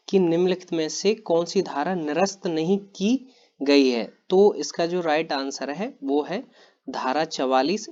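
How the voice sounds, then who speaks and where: Man clearing his throat between quiz answers. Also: a little high, muffled recording. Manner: steady and plain, repeats each answer, frequent throat clearing. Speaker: exam-prep teacher. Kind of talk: reading quiz questions and answers aloud.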